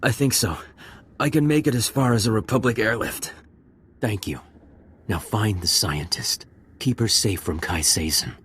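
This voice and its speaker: A man playing a badly wounded spy in a video game, faltering and halting with pain. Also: fairly low pitch, fairly bright tone, a little hiss or hum, lightly acted. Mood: relieved, weak.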